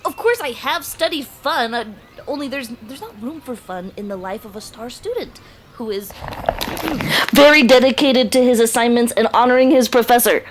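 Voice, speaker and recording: A woman's voice: a little high, moderate pace, slight background noise, close to an everyday voice. A woman voicing an earnest alien disguised as a preteen boy in a children's audio drama, with formal, stilted, literal-minded speech. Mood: earnest, stiff.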